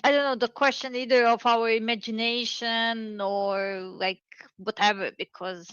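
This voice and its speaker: A woman's voice: a little high, fairly even intonation, unhurried, a bit bright, clean tone, dry recording, highs cut off. A woman from Serbia, calling in to a discussion on belief, speaking hesitantly with many fillers and restarts over a video call. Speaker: caller. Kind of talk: casual debate chat.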